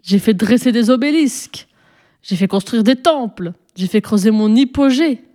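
An ancient, imperious pharaoh played by a woman reader holds forth from a storybook. The delivery is pompous and solemn, declaimed with majesty in a mellow tone. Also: on the high side, expressive, normal speed, natural voice. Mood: proud, boastful. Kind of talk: storybook reading, character dialogue.

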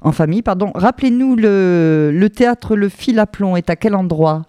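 A woman hosting a radio show, asking the guest brisk, practical questions and introducing the next segment. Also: mid-range voice, expressive, mellow, no room sound, clean studio sound. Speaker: radio host.

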